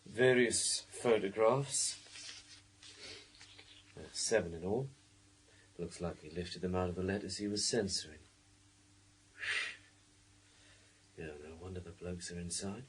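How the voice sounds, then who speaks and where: A man's voice: sits low, slight background noise, close to an everyday voice. A man playing a plodding police sergeant with dry, wry asides in a radio thriller. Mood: wry, amused. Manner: deliberate, itemising delivery with wry asides. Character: plodding, dryly sardonic male police sergeant.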